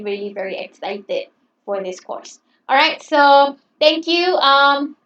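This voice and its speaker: Woman teacher, non-native English, fairly high voice, encouraging and chatty, recorded in a roomy space with muffled sound. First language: not English. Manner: encouraging, chatty, repeats "okay". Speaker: English lecturer. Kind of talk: online class introduction. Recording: roomy, distant, dull sound.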